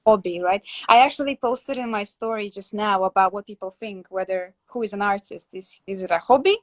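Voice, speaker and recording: A young woman from Latvia hosts a relaxed conversation over a video call, thinking aloud with frequent fillers and restarts. Her voice sits in a middle pitch and sounds dry and close, with no room echo. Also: fairly quick. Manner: reflective, many fillers and restarts. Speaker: host. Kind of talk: casual interview chat.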